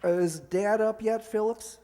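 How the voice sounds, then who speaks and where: A man's voice: high voice, normal speed, noisy background, natural voice. A man playing an earnest, flustered young son who stammers, in an old-time radio comedy. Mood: nervous. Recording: echoey hall stage recording.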